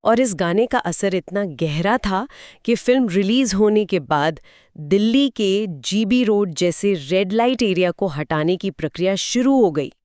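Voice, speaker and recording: A woman's voice: mid-range voice, expressive, measured pace, a little mellow, dry recording, clean studio sound. A woman giving explanatory, conversational film commentary in an earnest storytelling way. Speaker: podcast co-host.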